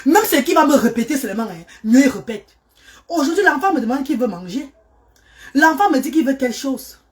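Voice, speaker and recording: Middle-aged mother, high voice, fervent and pleading, repeats herself for emphasis. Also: sing-song, fairly quick. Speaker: mother and charity worker. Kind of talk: emotional personal testimony.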